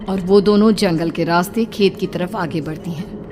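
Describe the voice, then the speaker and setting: A woman's voice: lowish voice, moderate pace, a little mellow, slight background noise. A woman giving scripted narration of a horror story.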